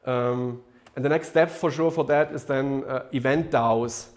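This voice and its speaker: A man's voice: mid-range voice, a little slow. A man in his thirties presents a technical idea to a meetup audience in a lecture room. He talks in a mid-range voice, stopping often on "um" and "uh" and starting his phrases over. He is seated at a laptop in front of a projector screen. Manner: hesitant, many fillers and restarts. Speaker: meetup speaker.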